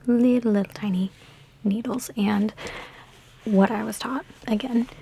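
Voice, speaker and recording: A woman in an ASMR roleplay speaking in a soft, breathy whisper mixed with hushed talk, with a sassy, teasing, chatty big-sister manner, close to the microphone. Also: a little high, steady tone, a little slow, a little hiss or hum. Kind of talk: ASMR personal attention roleplay.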